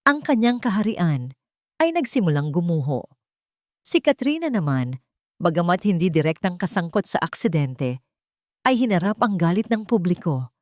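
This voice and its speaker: A woman's voice: fairly low pitch, sing-song, normal speed, darkish tone, no room sound, clean studio sound. A woman with a Filipino accent reading a dramatic scripted story. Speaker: story narrator.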